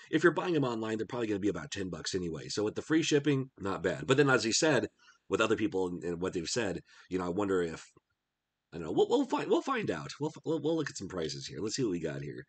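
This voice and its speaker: A middle-aged man with a congested cold voice, chatting casually as he browses a retro game store online. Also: lowish voice. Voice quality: husky. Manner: chatty, musing aloud while browsing. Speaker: retro game channel host. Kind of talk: casual screen-share commentary.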